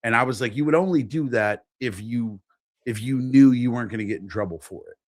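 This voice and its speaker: A man's voice: mid-range voice, fairly quick, no echo, studio quality. A man from Philadelphia, a guest on a talk show, giving his opinions frankly and with emphasis. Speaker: podcast guest. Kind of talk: casual political chat.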